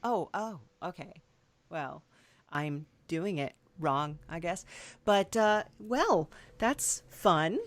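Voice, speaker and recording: A woman hosts an improvised comedy interview, talking slowly and haltingly with lots of fillers. Her voice sits a little low and the recording is close and dry. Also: expressive, no echo. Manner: hesitant, many fillers, playing along with mock seriousness. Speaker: podcast host.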